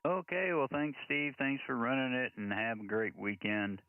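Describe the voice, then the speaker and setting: An American man working as net control operator on a ham radio, making deliberate, orderly announcements and spelling in phonetic letters. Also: mid-range voice, steady tone. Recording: narrow tinny radio-band sound, faint hiss.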